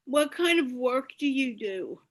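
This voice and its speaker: Slow, high-voiced woman hosting. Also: animated. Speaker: host. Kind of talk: conversational interviewing in online meetup. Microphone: video call.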